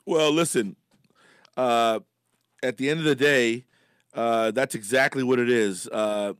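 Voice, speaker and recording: A man's voice: mid-range voice, a little slow, smooth, dry recording, clean studio sound. A middle-aged man from Miami, a guest on a radio show, talking emphatically with many fillers and restarts. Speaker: radio guest, business leader. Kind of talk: casual radio interview. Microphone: studio microphone.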